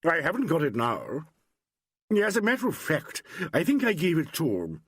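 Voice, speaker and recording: Man as an evasive, uneasy man, lifted pitch. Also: wildly swooping pitch, normal speed, a bit bright, husky, dry recording, clean studio sound, heavily acted. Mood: uncomfortable. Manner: uneasy, faltering.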